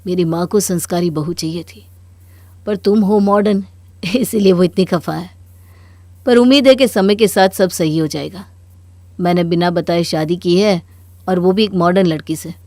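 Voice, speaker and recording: A woman's voice: lowish voice, dark tone, no echo, close to an everyday voice. A woman voicing a dutiful young married son in a Hindi cartoon story, earnest and placating. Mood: resigned.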